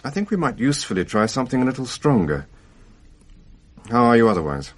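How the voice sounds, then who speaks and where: An actor playing a pragmatic family doctor in a radio drama, consoling in a brisk, matter-of-fact way. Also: male voice, medium pitch, big pitch swings. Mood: probing.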